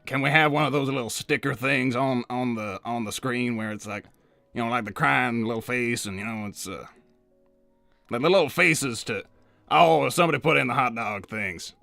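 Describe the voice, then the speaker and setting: A man acts out an elderly hot dog vendor hedgehog from a cartoon, hesitant and folksy. The voice is bright and creaky, with a close, dry sound. Music plays behind his meandering talk. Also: medium pitch, dry recording. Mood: bumbling, confused. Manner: rambling, halting, hesitant old-timer talk. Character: folksy older hedgehog uncle who sells hot dogs. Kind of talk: improvised in-character livestream roleplay.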